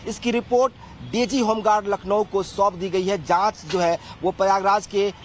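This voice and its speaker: High-voiced male TV news reporter. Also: steady tone, moderate pace, a little hiss or hum.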